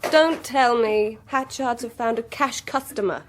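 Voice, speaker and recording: An actress playing a breezy, teasing, droll young daughter with an English accent in a radio drama. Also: female voice, fairly high pitch, measured pace, crowd noise, close to an everyday voice. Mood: droll, urging.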